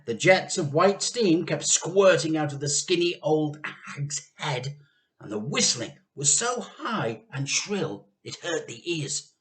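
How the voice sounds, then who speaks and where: A man reading a children's story aloud with expressive, theatrical narration and dramatic pauses. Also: on the high side.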